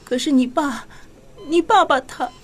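A woman's voice: high voice, a little slow. Grieving mother, tender, emotional, radio drama acting. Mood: relieved, grieving.

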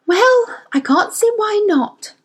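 Woman reader plays a worn-out scientist woman, high-pitched and clipped, skeptical, bouncing between highs and lows. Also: animated, takes it slowly, full character voice. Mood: weary. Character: tired, brisk adult woman scientist.

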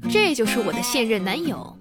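A woman's voice: a little high, big pitch swings, brisk, loud music behind the voice. A woman playing a domineering, hot-tempered young woman in a Chinese radio drama. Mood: fierce.

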